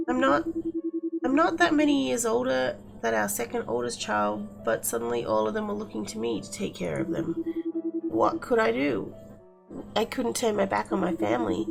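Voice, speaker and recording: A woman's voice: a little high, vocal fry, poor audio. A woman voicing an earnest, overworked and grateful eldest sibling in a visual novel. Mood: weary confiding. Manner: earnest, confiding. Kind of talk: visual novel character reading. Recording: music playing behind the voice.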